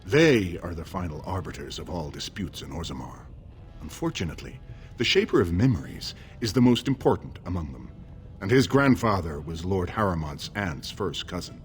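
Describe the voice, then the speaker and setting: An actor voicing a scheming, haughty dwarf man in a video game, smooth and condescending. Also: sits low, sing-song, normal speed. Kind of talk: video game dialogue acting.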